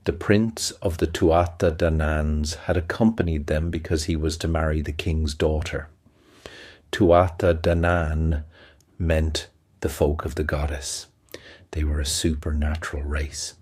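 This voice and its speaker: A man narrating a fantasy audio play as a storyteller, with a measured, even delivery. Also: a bass voice, no room sound, studio quality. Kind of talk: scripted fairy-tale narration.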